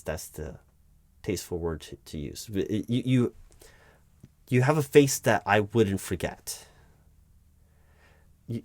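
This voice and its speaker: A man playing a flustered, deferential recruiting manager in an interview roleplay, halting, stammering and awkwardly polite as he talks. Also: on the low side, no room sound. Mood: flustered, suspicious. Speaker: roleplay performer. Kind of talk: spoken audio roleplay, one-sided job interview.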